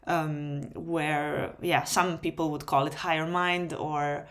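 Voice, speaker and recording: A woman whose first language is Serbian gives an online lecture in English. Her voice sits a little low, and she speaks in an unhurried way, filling pauses with ums and restarting phrases. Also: flat delivery. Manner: unhurried, many ums and restarts, light laughs. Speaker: webinar host. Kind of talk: spoken lecture.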